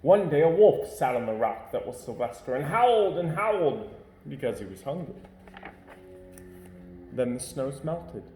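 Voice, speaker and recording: A man reads a children's picture book aloud at home, shaping each line expressively. His voice is mellow and dark-toned, and the room adds a noticeable echo. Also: fairly high pitch, moderate pace, long pauses, soft background music. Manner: expressive, varied reading. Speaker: storyteller. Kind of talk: children's storybook read-aloud.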